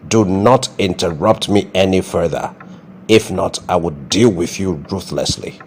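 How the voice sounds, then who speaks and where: A man's voice: deep voice, a little hiss or hum. A man acting as a stern, pompous king in a comedy skit, speaking in a ceremonious, formal way. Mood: irritated. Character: stern, grandly formal Nigerian king passing judgment.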